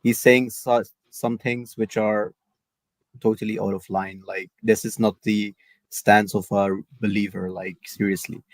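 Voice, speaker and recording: A man's voice: fairly low pitch, flat delivery, measured pace, dry recording. A man debating on a video call, hesitant and leaning heavily on fillers as he talks. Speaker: debate guest.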